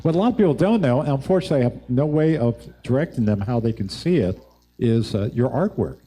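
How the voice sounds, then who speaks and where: A man's voice: mid-range voice, animated, quick pace, mellow. A man hosting a show, chatting casually and conversationally as he interviews guests in a rambling way. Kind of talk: casual on-air interview chat.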